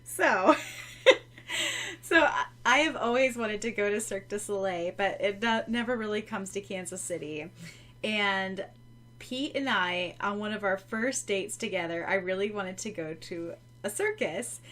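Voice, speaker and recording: A woman's voice: medium pitch, expressive, a little slow, a bit bright. A woman from the United States co-hosting a podcast, chatting casually and reading out comments in an effusive, giggly manner. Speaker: podcast co-host.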